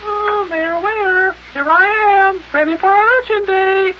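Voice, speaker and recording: Gushing, cooing widow, piercingly high falsetto, vintage radio comedy serial with faint hiss. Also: male voice, fairly even intonation, unhurried. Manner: cooing, affected, baby-talking. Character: gushing, coquettish lovesick widow lady. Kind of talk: 1950s children's radio serial acting. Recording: dull, noisy old radio recording.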